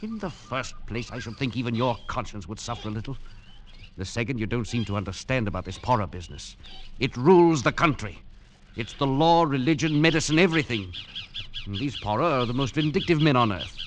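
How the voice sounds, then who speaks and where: An actor playing a stern, exasperated English expedition leader in a radio drama, with an urgent, scolding, emphatic manner. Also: male voice, mid-range voice, lively intonation, brisk, close to an everyday voice. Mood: grave, insistent. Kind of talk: old-time radio drama acting. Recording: noisy vintage radio drama recording.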